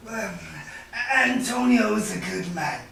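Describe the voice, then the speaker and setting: Actor as sardonic stage moneylender, slow, toying, chuckling delivery, gravelly edge, very echoey room. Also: male voice, sits high, expressive, pushed and bright, exaggerated voice. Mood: wry deliberation. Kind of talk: staged reading of Shakespearean drama. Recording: distant echoey room with faint noise.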